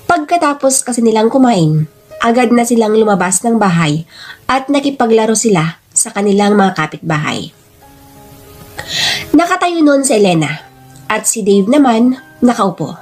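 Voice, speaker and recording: A woman with a high voice tells a story as scripted narration. Her delivery is dramatic, in the style of radio drama, with music playing underneath. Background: background music.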